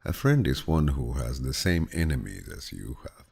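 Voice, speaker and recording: This man has an exceptionally deep voice with a heavy gravelly creak and a husky grain. He narrates like a calm grandfather telling stories, measured and soothing. His intonation moves expressively as he reads each saying. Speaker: audiobook narrator. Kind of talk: calm, measured audiobook reading of quotations.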